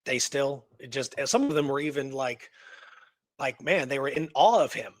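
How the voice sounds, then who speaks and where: A man's voice: medium pitch, lively intonation, normal speed, no room sound. A man telling a history story in a casual, rambling way, repeating words for emphasis. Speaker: co-host telling a true crime history.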